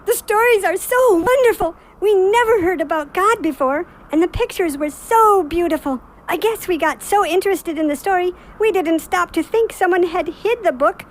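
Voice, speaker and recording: A woman's voice: extremely high pitch, moderate pace, hardly any pauses. A woman voicing a small, earnest, childlike young boy squirrel in a children's radio drama. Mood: sorry, earnest.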